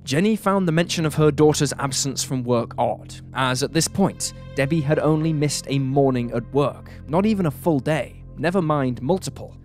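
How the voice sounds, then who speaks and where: Measured male true crime narrator over music. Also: medium pitch. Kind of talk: scripted narration. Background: background music.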